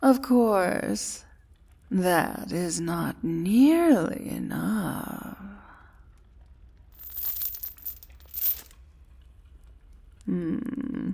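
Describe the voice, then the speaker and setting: A woman playing a domineering, dangerous dragon queen in a soft-spoken fantasy ASMR roleplay, breathy and often whispering, with formal, imperious diction. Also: fairly low pitch, animated, drawn-out words, full character voice. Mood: possessive, appraising.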